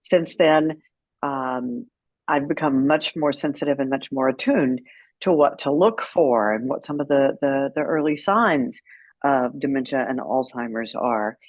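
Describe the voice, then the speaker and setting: A woman's voice: rumbling low, a little slow, no echo. A middle-aged American woman telling stories as an interview guest in a candid, wry, conversational way. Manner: candid, wry, animated retelling with quoted dialogue. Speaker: interview guest, caregiver advocate. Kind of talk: conversational storytelling.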